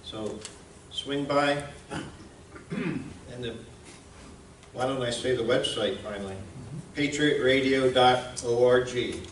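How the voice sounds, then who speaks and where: A man presents informally to a group in a plain, folksy, slightly halting way. He sits at a middling pitch, heard a little away from the mic in an echoing room with noise behind him. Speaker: presenter, radio station founder. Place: indoor museum event room. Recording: roomy, distant mic, clear background noise.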